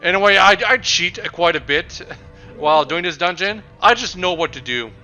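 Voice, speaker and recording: Bright-voiced young man rambling over gameplay. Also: high-pitched, expressive, highs cut off, faint music behind. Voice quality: slightly raspy. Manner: rambling, with frequent pauses and restarts. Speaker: let's play commentator. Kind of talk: casual game commentary.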